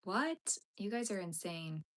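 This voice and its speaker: A woman's voice: mid-range voice, sing-song, measured pace. A young woman chatting casually on a live stream as she crochets and reads her chat aloud, laughing often and using lots of fillers. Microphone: phone streaming vertically.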